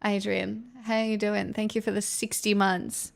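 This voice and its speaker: A woman's voice: mid-range voice, level tone, measured pace, gravelly. A young Australian woman chatting casually and frankly on a live stream, rambling to her viewers; she says her voice feels strained. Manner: rambling, frank, talks to chat.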